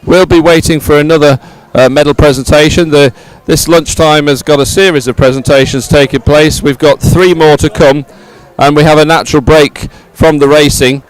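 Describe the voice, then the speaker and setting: A man with a slightly high voice hosts live coverage of an outdoor sporting event. He is effusive, often doubles up his words, and speaks in an improvised presenting style in the open air. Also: steady tone, moderate pace, poor audio. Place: outdoors at a regatta stadium.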